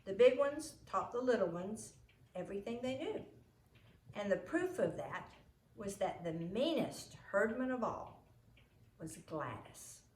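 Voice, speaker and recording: A woman reading a children's book aloud as a storyteller, in an expressive way. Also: medium pitch, unhurried. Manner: expressive, acts out the dialogue. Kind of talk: storybook read-aloud narration. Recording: roomy echo, noisy home recording.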